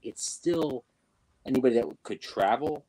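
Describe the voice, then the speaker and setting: A man's voice: medium pitch, moderate pace, dark tone, breathy edge, poor audio. A young man chatting casually as a guest, rambling with fillers and restarts. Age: young adult. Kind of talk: casual chat. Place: New York.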